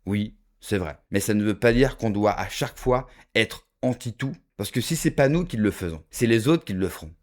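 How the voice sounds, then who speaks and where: A man's voice: fairly low pitch, animated, normal speed, hardly any pauses. A man from France giving an emphatic opinion monologue to his viewers, full of rhetorical asides and direct address. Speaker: political video commentator.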